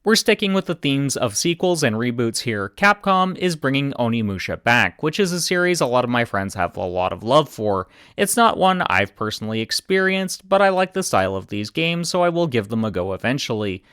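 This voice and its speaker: Deadpan guy talking games, smooth clear voice, dry close sound. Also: mid-range voice, fairly quick, few pauses, no room sound. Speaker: video game commentator. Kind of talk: scripted video commentary.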